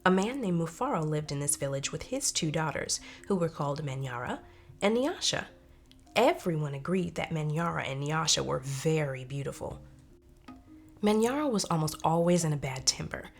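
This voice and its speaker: Female storybook narrator: lower register, expressive and animated, careful phrasing for children. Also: moderate pace, faint music behind.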